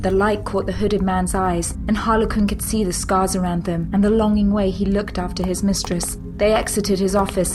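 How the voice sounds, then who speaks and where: A female narrator tells an audio drama story in measured phrases. Her voice is medium in pitch, with music clearly playing underneath. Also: poor audio.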